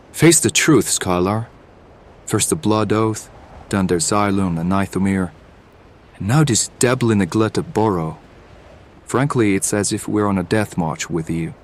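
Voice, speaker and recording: A man's voice: fairly low pitch, unhurried, long pauses, a little hiss or hum, lightly acted. A man acting a bitter, accusing, distrustful hireling in an audio drama.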